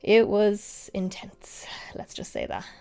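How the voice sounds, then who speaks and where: A young woman vlogger chatting through a makeup tutorial, a little nasal and sniffly from a lingering cold, drifting into soft whispers now and then. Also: mid-range voice, wildly swooping pitch, slow pace, creaky, clean tone. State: congested, recovering from a cold. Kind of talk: casual makeup tutorial chat.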